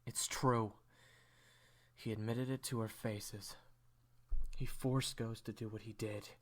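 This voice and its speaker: A man's voice: on the low side, steady tone, slow. A man playing a weary, forgiving young man in an audio drama, speaking in terse, casual, reassuring phrases. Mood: grim. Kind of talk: audio roleplay drama acting.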